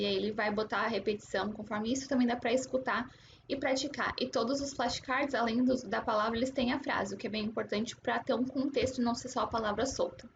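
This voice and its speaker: A young woman walks the viewer through her study routine in a chatty, step-by-step way. Her voice sits a little high, and a faint hiss sits under the talk. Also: creaky. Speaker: language-learning host. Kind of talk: casual screen-share tutorial.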